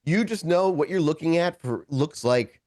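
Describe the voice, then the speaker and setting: A man's voice: on the high side, normal speed, darkish tone, dry recording, clean studio sound. A man narrating a tabletop role-playing game as game master, improvising scene descriptions and often correcting himself as he searches for words.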